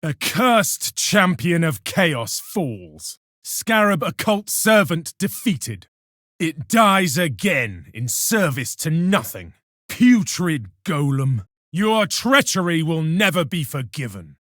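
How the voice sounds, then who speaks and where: A man's voice: a little high, sing-song, takes it slowly, a bit bright, no echo, clean studio sound, full character voice. A man playing a proud, zealous space marine warrior in a video game, declaiming solemnly and commandingly with contempt for his foes. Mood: cold contempt. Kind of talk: video game combat voice lines, theatrical declamation.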